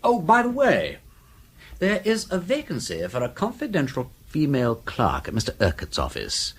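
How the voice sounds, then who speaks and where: An actor playing a flippant, inquisitive aristocratic detective in a British radio drama, with an upper-class English accent and a breezy, foppish, rambling politeness. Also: male voice, on the high side, wildly swooping pitch, normal speed, husky, heavily acted. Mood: musing.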